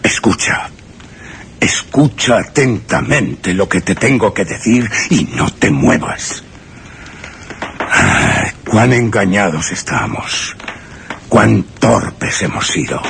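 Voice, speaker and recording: An unhinged, arrogant scientist in a Spanish horror radio drama, with a Castilian accent. His gravelly voice moves slowly and swings widely, full of grandiloquent menace and halting pauses. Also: fairly low pitch, fairly bright tone, slight background noise, heavily acted. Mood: bitter, grandiose. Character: deranged, arrogant scientist threatening his friend at gunpoint. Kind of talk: Spanish suspense and horror radio theatre acting.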